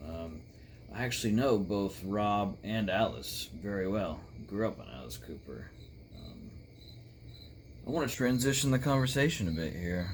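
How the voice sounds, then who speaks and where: A man playing a dark shock-rock star who hosts a talk show and asks deliberate, probing questions. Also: lowish voice, lively intonation, a little hiss or hum, close to an everyday voice. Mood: measured, curious.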